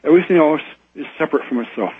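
A man appearing as a radio guest over the phone, explaining ideas in a halting way with many ums and repeated words. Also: a little high, expressive, husky, dry recording. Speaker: guest author. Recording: phone line, tinny and dry.

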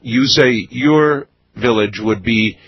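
A man hosting a radio call-in show, speaking in a slow, deliberate, measured way with pauses. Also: medium pitch, highs cut off, slight background noise. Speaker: radio talk show host. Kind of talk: late-night radio call-in interview.

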